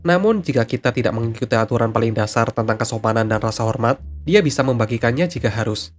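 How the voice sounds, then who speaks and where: Fast-talking male story narrator. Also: mid-range voice, lively intonation, faint music behind. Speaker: narrator of Reddit stories.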